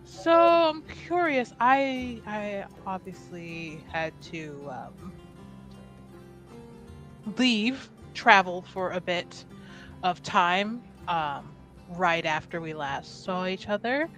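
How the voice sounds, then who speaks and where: A woman speaking slowly and carefully, roleplaying her character at a tabletop game. She hesitates between phrases as she asks her questions. Quiet music sits in the background. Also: fairly low pitch, expressive. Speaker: player.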